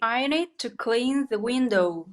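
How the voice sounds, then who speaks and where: A woman's voice: a little high, lively intonation, slow. A woman from Kazakhstan whose first language is Kazakh, carefully repeating English words as a student. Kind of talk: practicing English pronunciation.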